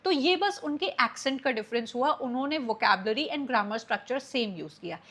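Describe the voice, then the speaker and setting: A woman's voice: on the high side, animated, normal speed, a bit bright. A woman from India with an Indian accent, teaching an exam-prep lecture and explaining terms while mixing Hindi and English.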